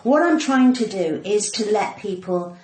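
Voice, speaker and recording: A woman's voice: mid-range voice, expressive, a little slow, darkish tone. A woman in her sixties with a cut-glass English accent, chatting casually and theatrically as a podcast guest, often emphatic and self-mocking. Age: 60s. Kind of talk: casual chat. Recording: roomy echo, faint background noise.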